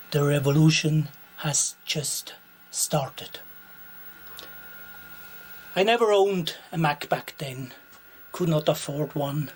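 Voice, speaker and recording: A Swiss man with a Swiss German accent, a native Swiss German speaker, showing his retro computer collection in a slow, deliberate, pausing way. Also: a little high, a little hiss or hum. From Switzerland. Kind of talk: show-and-tell narration.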